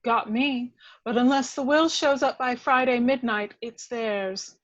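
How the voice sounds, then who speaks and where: A woman's voice: a little high, steady tone, a little slow, a bit bright. A woman playing a detective's wry, jealous girlfriend in an amateur table read, with a sarcastic delivery. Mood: matter-of-fact. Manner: dry, sarcastic. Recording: slightly dull home recording.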